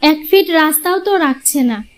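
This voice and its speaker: A very high-voiced young village wife, acted by a woman for a moral-story cartoon. She is outspoken and argumentative, pressing her points with sharp questions. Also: expressive, normal speed. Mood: exasperated.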